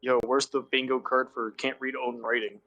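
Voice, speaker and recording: A man playing a tabletop game, casually joking with the group in an animated way. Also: medium pitch, normal speed, poor audio. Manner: animated, jokey.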